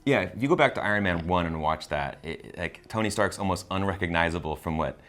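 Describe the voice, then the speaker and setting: A man's voice: deep voice, fairly quick, a bit bright. A man chatting casually as a film review host. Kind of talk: casual chat.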